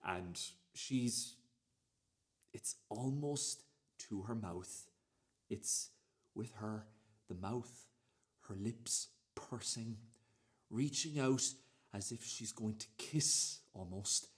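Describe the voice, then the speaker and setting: A man acting in a radio play as a cocky, sweary, smug storyteller who speaks in halting, repetitive phrases. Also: lowish voice. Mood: smug, hushed relish. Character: cocky, sweary man smugly recounting a dinner date. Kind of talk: radio play dramatic monologue.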